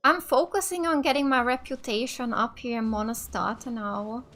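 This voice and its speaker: A woman's voice: a little high, steady tone, normal speed, fairly bright tone. A woman from Finland giving chatty, enthusiastic casual let's play commentary as a gaming host. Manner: chatty, enthusiastic about the game.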